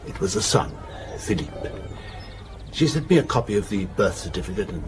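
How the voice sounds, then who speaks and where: An actor playing an anxious, asthmatic businessman in his fifties in a radio drama, halting, earnest and short of breath. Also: low-pitched, very animated, background noise. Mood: confessional, earnest. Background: music.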